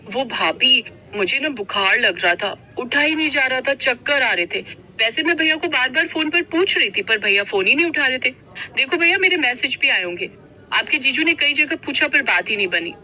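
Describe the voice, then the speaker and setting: Sweet, gushing young sister-in-law played by a woman, cartoon tale; high, very animated, quick with excuses. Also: full character voice, rough recording. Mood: defensive excuses. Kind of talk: cartoon story character voice.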